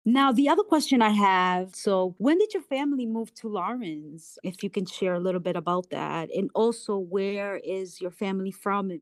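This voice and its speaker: A woman's voice: mid-range voice, lively intonation, moderate pace, hardly any pauses, no room sound. A woman conducting a conversational interview, asking questions and affirming what she hears. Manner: affirming, reflects back on answers.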